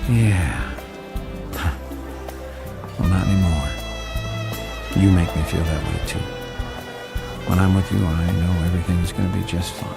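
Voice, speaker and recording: A young pilot in love, in an audio drama. The voice is low and full of creak, casual and affectionate, with music clearly audible behind it. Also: male voice, fairly even intonation, measured pace, long pauses. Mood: tender, earnest. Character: tender, playful young boyfriend, a pilot.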